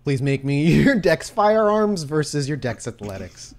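A man's voice: on the high side, big pitch swings, a little slow, a little mellow. A man working as game master, narrating and talking rules in a conversational, playful way. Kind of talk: tabletop roleplay narration and rules talk.

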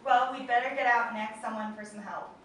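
Timid, proper female traveller played by a woman, high-pitched radio-play reading, noisy echoing room. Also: steady tone, fast, close to an everyday voice. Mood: uneasy. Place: open indoor studio tour space, group around stand mics. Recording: echoey room, distant microphone, clear background noise.

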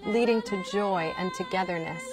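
A woman's voice: mid-range voice, normal speed, distant mic. A woman, a folk musician telling young listeners about her family and music in an enthusiastic storytelling manner. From Queens, New York. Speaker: folk musician presenting to children. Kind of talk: scripted educational talk to young listeners. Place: indoor studio with wooden wall backdrop.

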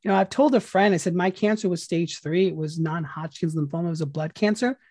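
A man's voice: fairly high pitch, fast talker, darkish tone, slightly rough, no echo, studio quality. A man hosting a podcast, talking in an earnest, reflective way and repeating phrases for emphasis. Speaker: podcast host. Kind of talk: interview and outro.

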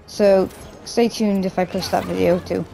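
A man with a hoarse, croaky voice from a cough, casually rambling through gameplay commentary as a gaming YouTuber. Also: high-pitched, little pitch movement, normal speed, mellow, faint music behind, rough recording. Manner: rambling, loose, with many restarts and "like".

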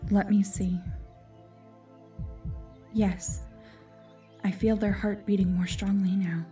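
A solemn forest guardian played by a woman speaks in measured, formal phrases that slip into breathy whispers. The voice is dark and mellow, slow, and a little distant from the mic. It suits a fantasy audio drama. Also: medium pitch, flat delivery, faint music behind, natural voice. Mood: grave, instructing.

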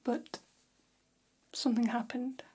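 A woman's voice: a little high, flat delivery, takes it slowly, mellow. A woman whispering as an elegant, gossipy female vampire hairstylist in an ASMR role-play, confiding and soothing, close to the microphone. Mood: hushed, confiding. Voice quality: breathy, whispered.

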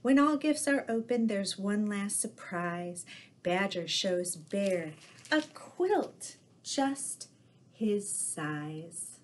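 A middle-aged woman reading children's picture books aloud as a storyteller, in an expressive, measured way. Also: mid-range voice, a little hiss or hum. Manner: slow, expressive, measured read-aloud delivery. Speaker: storyteller in costume as Mrs. Claus. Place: living room with fireplace, indoors.

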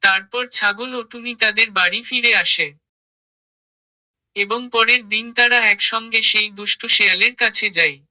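Very bright-voiced children's story narrator. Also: medium pitch, steady tone, normal speed, poor audio.

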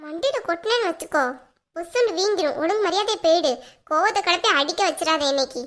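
Woman doing an annoyed young mom in a family drama, super high sharp voice, blunt and scolding. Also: fast. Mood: irritated, scolding. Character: exasperated young mother, scolding and threatening her little daughter.

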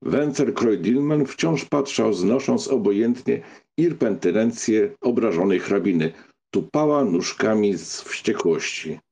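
A man narrating a literary audiobook from a script, with a gravelly, husky, mellow voice. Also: fairly low pitch, measured pace.

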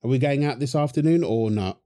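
A man's voice: medium pitch, fairly quick, warm tone. A man performing a wholesome audio roleplay as a husband and dad, teasing his partner and cooing fondly at their baby with a playful manner.

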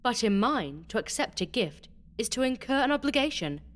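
A man voicing a cold, ironic young noblewoman in a storybook reading, in a raised falsetto. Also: sing-song, normal speed, a bit bright, full character voice. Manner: cool, mocking, falsetto.

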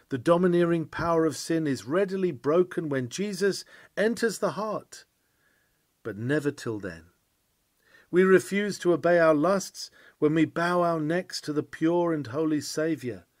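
A man's voice: a little high, dry recording. A man reading an old-fashioned sermon in an earnest, emphatic preaching style. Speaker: reader of a historical sermon.

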